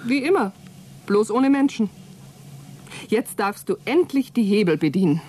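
A woman's voice: mid-range voice, animated, a little slow, dark tone, a little hiss or hum, natural voice. Woman as cool, clipped astronaut co-pilot. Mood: dry irony. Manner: clipped, composed, dryly ironic. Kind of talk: German radio play acting.

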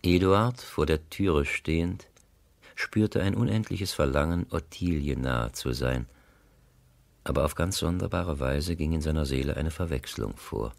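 A man narrating a literary radio play, grave, composed and deliberate, on a close studio mic. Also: a bass voice, vocal fry, no echo, full character voice. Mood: grave, intimate.

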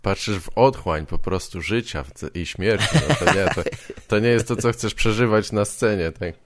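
A man hosting a casual conversation, speaking informally and haltingly, rephrasing as he goes. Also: fairly low pitch, no room sound. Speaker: podcast host, interviewer.

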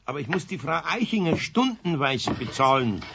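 An actor playing a grumbly but patient, fatherly older man with a Bavarian accent and husky voice in a 1970s children's radio play. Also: a little high, big pitch swings, moderate pace, noisy background. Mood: scolding. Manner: admonishing, homely. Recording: dull vintage radio play recording.

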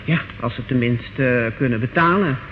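A man's voice: mid-range voice, normal speed, close to an everyday voice. A male actor playing an anxious, dutiful prison warder in an old radio drama, respectful and alarmed. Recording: tinny, hissy old radio recording.

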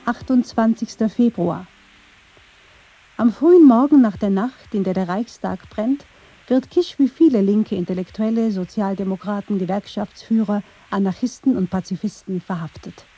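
Dark, husky female documentary narrator. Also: mid-range voice, normal speed. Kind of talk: scripted radio feature narration.

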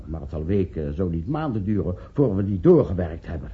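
A man's voice: low-pitched, big pitch swings, a little fast, slight background noise. A man playing a pessimistic senior professor in a radio drama, sardonic and exasperated with his colleagues. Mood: gloomy, sardonic. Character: gloomy, impatient male senior professor, pessimistic about science. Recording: muffled old radio play recording.

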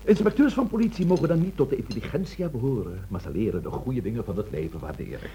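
An actor playing a blunt but courteous police inspector in a radio play, dryly and persistently questioning. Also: male voice, mid-range voice, lively intonation, quick pace, warm tone, slight background noise, close to an everyday voice. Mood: sociable, wry.

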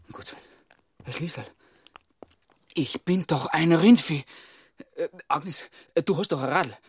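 A man's voice: on the high side, a little slow, husky, dry recording, clean studio sound, heavily acted. An actor playing an impulsive, brusque male police inspector with a Bavarian accent in a radio crime drama. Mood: alarmed, determined.

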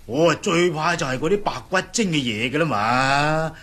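An actor playing a timid old male housekeeper in a Cantonese radio drama, whining, sighing and fussing in a husky voice. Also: fairly high pitch, expressive, moderate pace, fairly bright tone. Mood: flustered complaint.

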